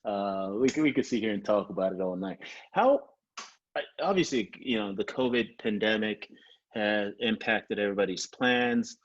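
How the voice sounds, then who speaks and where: A man with a Nigerian accent hosting a casual interview on a video call. He talks in a relaxed way and often repeats a word while he gathers his thoughts. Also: fairly low pitch, moderate pace, muffled recording. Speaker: podcast host.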